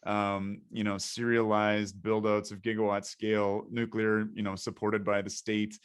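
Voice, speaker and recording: Man hosting a podcast, rambling and talkative, low voice, close dry sound. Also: level tone, unhurried, smooth, no room sound. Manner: rambling, talkative, thinks aloud. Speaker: podcast host. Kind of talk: podcast interview.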